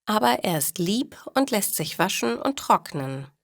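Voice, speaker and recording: A woman narrating scripted stories for language learners, with clear, measured phrasing. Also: mid-range voice, big pitch swings, no echo, studio quality.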